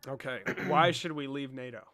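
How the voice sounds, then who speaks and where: A man hosting a livestream, talking casually and mockingly with lots of swearing, jumping between loud outbursts and mumbles. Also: medium pitch, normal speed. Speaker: livestream host. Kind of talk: casual livestream chat and debate.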